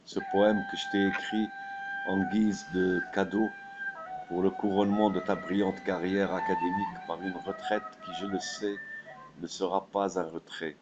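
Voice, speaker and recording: A man reciting his own poem in a solemn, declamatory way, with measured phrasing. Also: low-pitched, flat delivery, muffled recording. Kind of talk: solemn poetry recitation with a dedication. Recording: voice over prominent flute music. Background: flute music.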